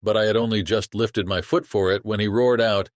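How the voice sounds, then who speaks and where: Man playing a low-voiced salty sailor yarning. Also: fairly even intonation, a little fast, no echo, clean studio sound, close to an everyday voice. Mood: confiding wonder. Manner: colloquial, exclamatory storytelling. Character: salty, chatty sailor spinning a yarn to a shipmate. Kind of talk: dramatized audiobook acting.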